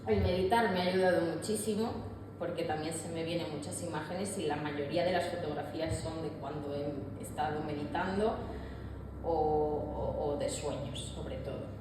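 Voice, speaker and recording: Woman speaking at a talk, lowish voice, candid and reflective, stops and restarts a lot, big echoey hall. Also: moderate pace. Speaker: artist speaker. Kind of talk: public talk on mental health. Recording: echoey hall with long reverb, audible room noise.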